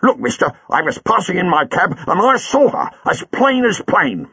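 A male narrator puts on a furious cab driver, pitched well above his own voice and shouting his demands in rough, broken grammar. The sound is dull and dry, like a close studio read. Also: very animated, normal speed, no echo, exaggerated voice. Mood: insistent. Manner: blunt, furious, dropped grammar. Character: angry working-class male cab driver.

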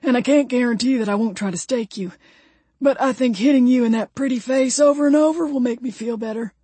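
A woman's voice: fairly high pitch, fairly quick, warm tone, breathy edge, no room sound, voice pushed up. A woman voicing a brash, vengeful redneck man who demands to become a vampire, in an audiobook story. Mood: threatening.

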